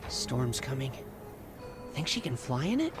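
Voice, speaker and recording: A man's voice: mid-range voice, very animated, measured pace, music in the background. A man playing a loyal, spirited younger Spartan brother in a video game, eager and supportive. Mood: curious, supportive. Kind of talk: dramatic video game acting.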